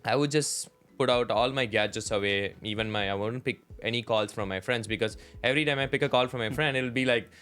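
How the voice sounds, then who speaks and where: A man's voice: mid-range voice, a little fast, few pauses, a bit bright, soft background music. A man co-hosting a podcast, giving advice in a confident, conversational way with frequent "right?" tags. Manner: confident, leans on "right?" and "you know". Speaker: podcast co-host. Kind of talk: casual advice chat.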